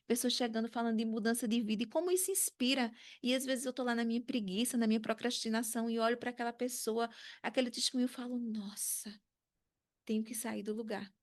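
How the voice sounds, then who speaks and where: A woman's voice: fairly high pitch, darkish tone. A woman with a Brazilian accent hosting a podcast in chatty, conversational talk, often checking in with short tag questions. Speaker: podcast host.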